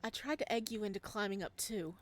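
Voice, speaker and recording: A woman's voice: medium pitch, fairly quick, close to an everyday voice. A woman voicing a tender, guilt-ridden young man in a visual novel, speaking in an earnest, hesitant way. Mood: somber, reminiscing. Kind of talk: visual novel dialogue read aloud.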